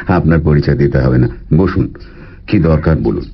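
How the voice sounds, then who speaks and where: An actor playing a seasoned, guarded senior heart doctor in an audio story, deliberate and authoritative. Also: male voice, very deep voice, expressive, vocal fry, husky, muffled recording, full character voice. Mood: genial, brisk.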